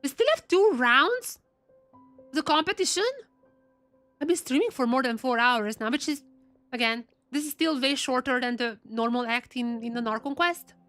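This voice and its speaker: Female game streamer, high voice, chatty and casual, repeats herself for emphasis, music underneath. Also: animated, moderate pace, a bit bright. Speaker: live streamer reacting to a game. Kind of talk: casual live game reaction commentary.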